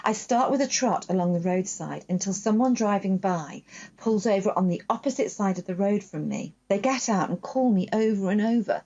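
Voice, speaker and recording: A woman's voice: mid-range voice, warm tone. Woman reading a children's story aloud. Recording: dull lo-fi sound.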